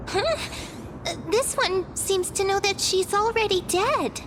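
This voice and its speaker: Little fairy sidekick, extremely high squeaky voice, slow, naive, curious, fantasy game, noisy ambience. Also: female voice. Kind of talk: dubbed fantasy game dialogue.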